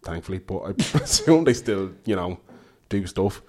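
Male podcast host, low voice, nasal with a head cold, chatty and laughing. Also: big pitch swings, moderate pace, darkish tone. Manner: chatty, laughs often, rambling restarts. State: stuffy nose from a cold. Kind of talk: casual chat.

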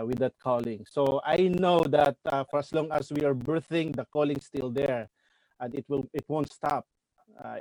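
A man in his forties, a church minister speaking in an earnest, halting way with repeated words in a casual chat. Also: mid-range voice, a little mellow, no room sound, poor audio. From Philippines. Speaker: church minister abroad, guest. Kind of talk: casual video-call chat.

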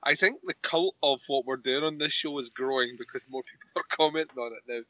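A man's voice: medium pitch, brisk, bright, forceful tone, dry recording. A young man co-hosting a podcast in casual chat, teasing and laughing often. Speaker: podcast co-host.

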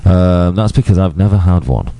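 A man's voice: deep voice, moderate pace, dark tone, faint music behind. A man in crude, sarcastic casual banter, swearing often.

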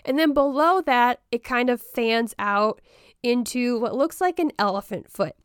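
Chatty woman host, hoarse from the flu. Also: a little high, measured pace, dry recording, clean studio sound. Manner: chatty, rambling, with frequent ums and light laughs. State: voice still hoarse after the flu. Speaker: podcast host. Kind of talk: casual solo podcast about houseplants.

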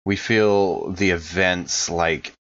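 A man's voice: low voice, takes it slowly, a bit bright, highs cut off. A man playing a tabletop game, improvising his character's actions in a casual, conversational way.

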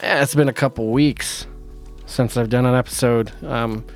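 A man's voice: medium pitch, fairly even intonation, moderate pace, faint music behind. A man hosting a casual solo podcast, sounding nasal from a cold, blunt and opinionated and laughing often. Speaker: podcast host.

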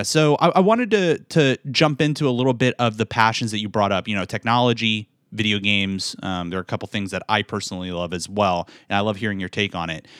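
Male podcast host, halting questions, lowish voice, dry close mic. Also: no room sound. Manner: warm interviewer, halting, repeats words. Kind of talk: interview questions.

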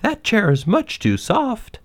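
Man doing Goldilocks, a dainty high-voiced girl. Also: wildly swooping pitch, slow pace, dry recording, full character voice. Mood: displeased. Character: dainty, fussy little girl, sweet and picky. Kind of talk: children's storybook character voice.

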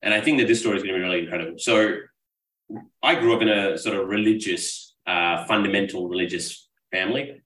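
A man's voice: low-pitched, fast talker, fairly bright tone, a little hiss or hum. A man from Pakistan, a business coach, telling his life story as a talkative, earnest podcast guest. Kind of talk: casual interview storytelling. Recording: roomy echo, distant mic.

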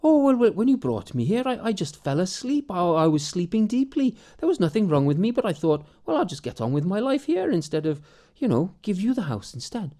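A man's voice: high-pitched, very animated, a little fast, few pauses, warm tone, voice pushed up. A man voicing a cheerful old mother who rambles and stumbles over her words. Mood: chatty.

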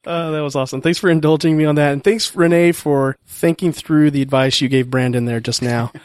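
A man hosting a podcast, playful as he asks questions. His voice sits slightly high, and the recording is dry. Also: steady tone, brisk, darkish tone, clean tone, dry recording. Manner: playful, laughing interviewer. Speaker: podcast host. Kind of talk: casual chat.

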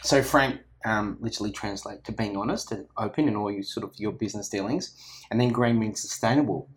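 A man's voice: on the low side, fairly quick, rough recording. An Australian man, a company founder, chatting energetically in an interview, rambling with lots of restarts and fillers. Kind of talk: casual interview chat. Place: office.

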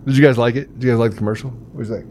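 A man's voice: mid-range voice, fairly even intonation, racing, darkish tone, a little hiss or hum. A man hosting a podcast with casual, teasing banter and frequent chuckling. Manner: teasing, jokey, often chuckling. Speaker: podcast host.

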